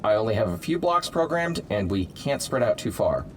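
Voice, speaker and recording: A man acts as a touchy superhero scientist in an audio drama. He is earnest and defensive, with a lively, swinging pitch over faint noise. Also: moderate pace. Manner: defensive, explanatory.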